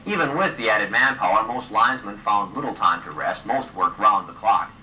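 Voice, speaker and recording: Guy doing tv news narration, super bright punchy voice, old tape recording w some hiss. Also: fairly low pitch, animated, normal speed. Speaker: news presenter. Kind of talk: scripted broadcast news narration. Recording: old home videotape of TV news, distant, hissy.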